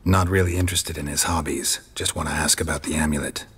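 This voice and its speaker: Very deep, deadpan monster hunter. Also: male voice, fast talker, slight background noise. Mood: cool, probing. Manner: terse, deadpan, clipped sentences. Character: stoic, blunt monster hunter, hardened and unhurried. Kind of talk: video game cutscene acting.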